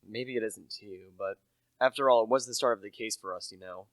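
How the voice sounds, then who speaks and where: A man's voice: on the low side, fairly quick, no echo, natural voice, low-quality recording. A young man playing a humble, earnest salesman turned amateur sleuth in a radio play, plain-spoken and a little hesitant. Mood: chatty, modest. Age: young adult.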